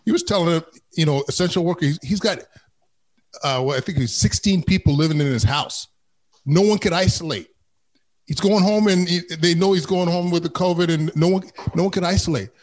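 A man hosting a panel discussion over a video call, talking in an emphatic, colloquial way and often asking "you know what I'm saying". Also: high-pitched. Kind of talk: casual, emphatic panel talk.

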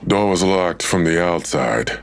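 An actor playing a terse, brooding police detective who questions everything in an audio drama, with a gravelly voice. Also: male voice, sits low, lively intonation, a little slow, full character voice. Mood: brooding, intent.

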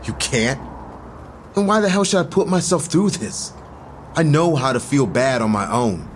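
A man's voice: medium pitch, animated, moderate pace, slight background noise, lightly acted. A man playing a guarded, troubled therapy-group member in an audio drama, blunt and reluctant. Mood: upset, defensive.

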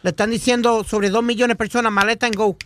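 A woman's voice: a little high, steady tone, fast, a little hiss or hum. A woman co-hosting a radio show, passing on news conversationally in Spanish with English phrases mixed in. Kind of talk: casual news chat.

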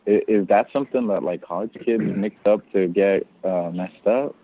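A man's voice: fairly low pitch, moderate pace. Man on a tinny phone line. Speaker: podcast guest. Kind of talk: casual chat.

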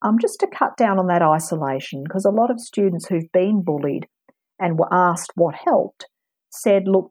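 A woman, giving calm, measured advice as an interview guest, with frequent ums. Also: fairly low pitch, breathy edge, dry recording, low-quality recording. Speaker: anti-bullying expert guest. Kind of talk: calm advisory interview answers.

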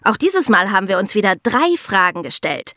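A woman's voice: medium pitch, big pitch swings, normal speed, sharp tone, no echo, clean studio sound. A woman co-hosting a podcast, explaining things in an emphatic, colloquial way with rhetorical questions. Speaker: podcast co-host. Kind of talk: lively explanatory talk with co-hosts.

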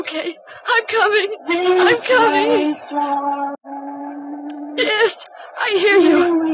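A woman's voice: very high voice, heavy background noise. A woman playing a distraught, unhinged young woman in an old-time radio horror drama, pleading tearfully and repeating herself. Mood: feverish, coaxing. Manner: repetitive, singsong, tearful pleading. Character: distraught young woman, unhinged, calling for her lost friend. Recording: dull vintage radio recording with loud music.

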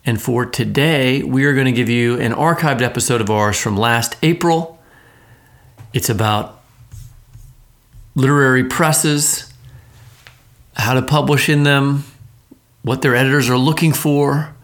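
A man's voice: mid-range voice, measured pace, pauses often, a bit bright. A man speaking casually as the host of a podcast.

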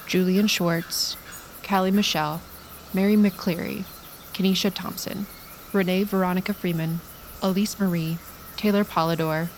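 Low-voiced woman announcer reading credits. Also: a little mellow, a little hiss or hum.

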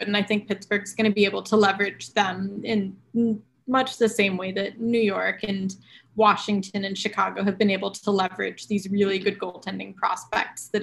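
A woman's voice: medium pitch, vocal fry. A woman guest on a podcast discussing goalie prospects in detail, thinking aloud with frequent ums. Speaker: podcast guest, hockey analyst. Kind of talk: casual hockey chat.

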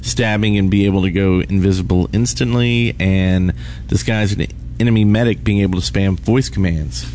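A man with a fairly low voice co-hosts a gaming podcast in a casual, conversational way. Background noise from a convention floor surrounds him. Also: fairly even intonation, normal speed. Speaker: podcast co-host. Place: live on an open convention floor. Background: convention ambience and loudspeaker.

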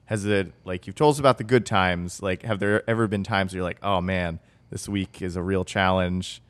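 A man's voice: sits low, fairly even intonation, moderate pace, a bit bright. A man co-hosting a podcast, asking his guest questions in a casual, curious way. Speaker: podcast co-host. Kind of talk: casual chat.

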